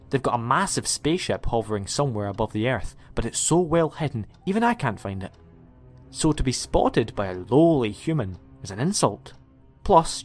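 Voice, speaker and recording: A man playing an eccentric, chatty time-travelling alien in an audio drama, with a rambling, quirky way of talking. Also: mid-range voice. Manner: rambling, self-correcting, quirky. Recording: music under the voice.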